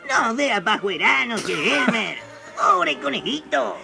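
Croaky high Argentine cartoon character. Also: male voice, big pitch swings, takes it slowly, exaggerated voice. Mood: teasing. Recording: homemade cassette radio play, loud music.

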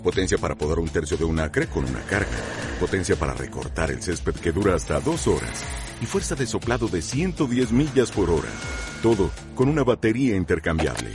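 A man's voice: low voice, big pitch swings, fairly quick. A man reading scripted advertising narration as a commercial announcer. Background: music.

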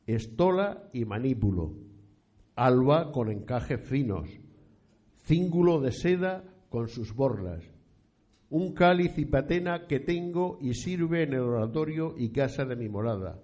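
A man playing an elderly, solemn 18th-century landowning priest in a period radio play, speaking formally and deliberately. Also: mid-range voice, lively intonation, mellow, close to an everyday voice. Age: older. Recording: dull lo-fi sound.

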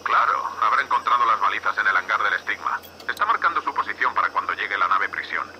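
A curt, foul-mouthed male space captain played by a man with a Spain accent and a very forceful, cutting voice. He is impatient and scornful, swinging in pitch, in a radio play with some background noise. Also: expressive, quick pace, low-quality recording. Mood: dismissive, irritated. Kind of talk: Spanish-language sci-fi audio drama acting.

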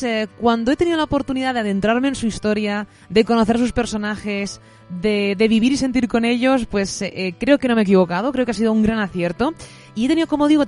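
A woman presenting a literary podcast in an expressive, effusive, semi-scripted way. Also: on the high side.

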